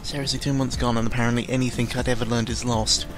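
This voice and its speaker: A young man talks off the cuff in a mid-pitched voice, with music playing behind him. He rambles and stumbles, cutting himself off mid-thought. His delivery is dry, sarcastic and self-deprecating, with an exasperated edge. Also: flat delivery. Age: young adult. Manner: rambling, halting, full of self-interruptions. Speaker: YouTuber recording an audio log. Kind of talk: casual unscripted news commentary. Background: background music.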